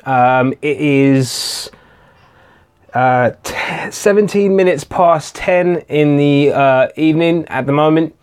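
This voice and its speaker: A man's voice: a little high, slow, clear, resonant tone. A man hosting a casual live-stream Q&A, chatting hesitantly with many fillers and restarts while answering viewer comments. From England. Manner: reads viewer comments aloud and answers them. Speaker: tech channel host.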